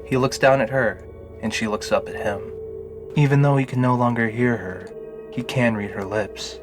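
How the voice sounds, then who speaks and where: A man's voice: medium pitch, normal speed. A man narrating a scripted fantasy audio drama in the role of storyteller. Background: music under the narration.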